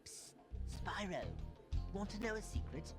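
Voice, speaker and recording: A woman voicing a small, helpful game fairy who whispers tips in a hushed, secretive way. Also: mid-range voice, lively intonation, measured pace, close to an everyday voice. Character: helpful little fairy girl sharing tips. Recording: game audio over loud music.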